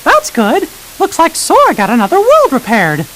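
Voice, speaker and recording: A high, bouncy mouse king. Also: wildly swooping pitch, normal speed, slight background noise, heavily acted, rough recording. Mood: reassuring. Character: cheerful brave male mouse king. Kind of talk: game cutscene acting.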